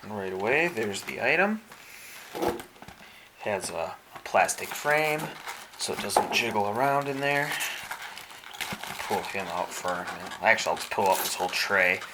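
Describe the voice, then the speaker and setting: A man giving casual unboxing narration as a product reviewer, explaining things in a measured, step-by-step way. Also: fairly low pitch, expressive. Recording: faint background hiss.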